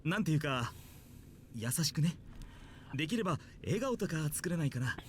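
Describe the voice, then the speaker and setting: A man's voice: on the high side, big pitch swings, quick pace, a little hiss or hum. An actor playing a mild, jokey, nervous male schoolteacher in a Japanese visual novel. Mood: cautious, pleading.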